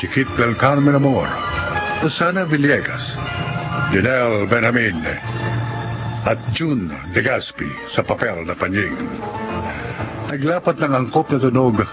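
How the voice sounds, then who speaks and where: A Filipino male announcer presents a radio drama with a scripted delivery. His pitch moves animatedly, and music plays clearly beneath his voice. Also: animated, a little slow, pauses often, lo-fi sound. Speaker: announcer and host. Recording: prominent music under the voice.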